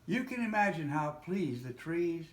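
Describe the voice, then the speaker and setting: An older man reading a children's storybook aloud, a little haltingly, with small slips and self-corrections. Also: on the high side, sing-song. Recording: roomy, echoey home recording with faint noise.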